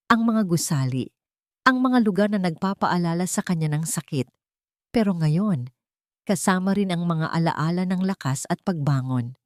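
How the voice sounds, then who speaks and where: A woman's voice: on the low side, dry recording, clean studio sound. A woman narrating a scripted, dramatic love story as a storyteller.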